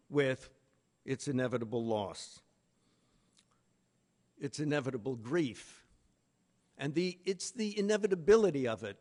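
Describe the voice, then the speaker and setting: A man giving a literary lecture in a deliberate, emphatic manner with pauses between phrases. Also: mid-range voice. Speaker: conference speaker, literary lecturer. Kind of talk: literary lecture with read-out quotations.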